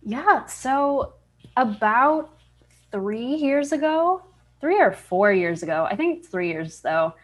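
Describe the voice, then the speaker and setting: A woman's voice: on the high side, lively intonation, slow. A woman, a voice actress, answering questions casually and modestly on a video call. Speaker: voice actress being interviewed. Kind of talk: casual interview chat. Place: indoors on a group video call.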